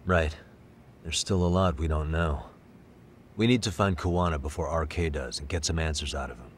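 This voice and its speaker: An American man playing a sharp, composed detective in a game cutscene, speaking in a measured, deliberate way. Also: rumbling low, slight background noise. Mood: serious, analytical. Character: sharp, composed male detective investigating a case. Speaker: video game voice actor. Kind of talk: English dub game cutscene dialogue.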